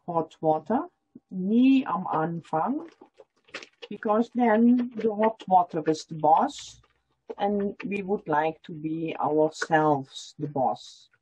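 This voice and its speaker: Patient woman demonstrating a craft on a video call; slow, expressive delivery, dull audio. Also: medium pitch, husky. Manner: explains step by step, repeating lines in German. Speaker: felting teacher. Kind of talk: online workshop demonstration.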